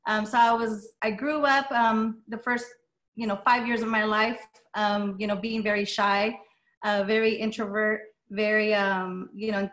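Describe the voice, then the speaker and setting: A woman's voice: medium pitch, flat delivery, measured pace, a bit bright. Woman guest speaker, middle-aged, first language Spanish, casual, hesitant storytelling. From Las Vegas, USA. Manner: casual, many ums, light laughs. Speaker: guest speaker, dance studio owner. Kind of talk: personal story talk.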